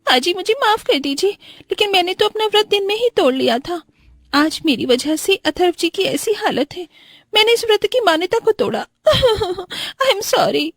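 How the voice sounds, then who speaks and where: A woman's voice: very high-pitched, animated, moderate pace, dry recording, voice pushed up. A woman voicing an arrogant, spoiled rich young daughter-in-law in a cartoon moral story, scornful and bossy. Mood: remorseful.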